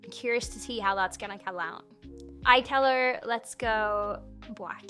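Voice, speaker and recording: Bright-voiced woman reviewing a website. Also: on the high side, unhurried, soft background music. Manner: chatty, thinks aloud while clicking through menus. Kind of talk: casual screen-recorded product review.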